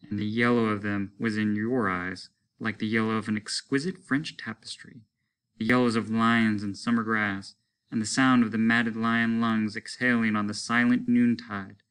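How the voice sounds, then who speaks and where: A male teacher reading a short story aloud in a measured, descriptive way. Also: lowish voice, fairly even intonation, a bit bright, smooth.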